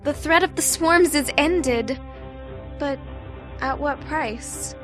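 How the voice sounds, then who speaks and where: A woman's voice: high voice, slow pace, fairly bright tone. A woman playing an earnest, emotional warrior heroine in a fantasy audio drama, with a dramatic delivery. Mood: relieved, troubled. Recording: music behind.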